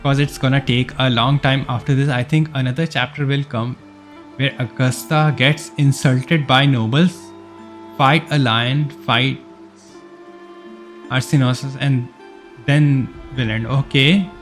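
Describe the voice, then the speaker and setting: A male game streamer with a mid-pitched voice talks casually and informally. His speech is slow and halting, and faint game music plays behind him. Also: steady tone. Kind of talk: casual live gameplay commentary.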